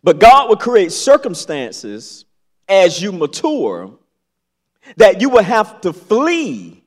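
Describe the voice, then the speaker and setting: High-pitched, slow, animated preacher man. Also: long reverb tail. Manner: preaching with dramatic pauses and rising emphasis. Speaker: pastor. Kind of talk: sermon. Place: large church sanctuary.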